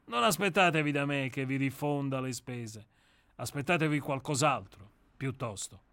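A man's voice: mid-range voice, moderate pace, fairly bright tone, no echo, close to an everyday voice. A man reading a radio play aloud, voicing a cynical, menacing novelist with a grandiloquent, mocking manner. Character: cynical, menacing male novelist, coldly theatrical. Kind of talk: dramatic reading.

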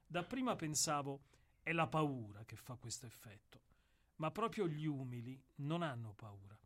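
An actor in a radio play, voicing a somber, philosophical executioner who speaks in a grave, measured and deferential way. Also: male voice, mid-range voice, animated, fairly bright tone. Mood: brooding. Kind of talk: radio drama acting.

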